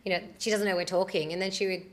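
A woman's voice: medium pitch, steady tone, racing. A woman in her twenties from Australia, telling stories as a guest on a stage panel in a chatty, self-deprecating way. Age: 20s. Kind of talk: casual storytelling on a panel. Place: studio stage with a panel seated in chairs. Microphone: handheld microphone.

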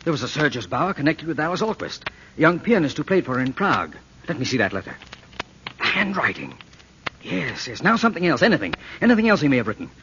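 An actor playing an earnest, protective detective, questioning urgently and reassuringly in a 1940s radio drama style. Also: male voice, fairly high pitch, sing-song, fast talker, sharp tone, exaggerated voice. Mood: excited. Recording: muffled, noisy old radio recording.

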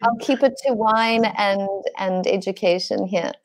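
A woman's voice: medium pitch, steady tone, moderate pace. A woman in her forties with a Zimbabwean accent, hosting a video call, enthusiastic and hesitant with fillers. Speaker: lodge host. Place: home room indoors.

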